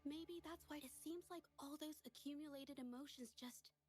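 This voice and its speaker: High-voiced, earnest young game heroine played by a woman. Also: darkish tone, in a large hall, close to an everyday voice. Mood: troubled, guilty. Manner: halting, thoughtful delivery. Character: earnest young woman, hesitant, prone to self-blame. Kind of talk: voice acting in an anime-style video game. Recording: game music under the voice. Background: game music.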